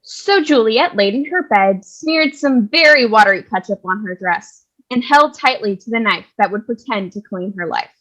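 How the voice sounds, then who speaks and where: A woman's voice: a little high, expressive, normal speed, few pauses, a bit bright, low-quality recording. A woman playing a wry, dramatic narrator, reading scripted comic narration for a staged parody. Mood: mock-dramatic. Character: wry, tongue-in-cheek female storyteller narrating a parody.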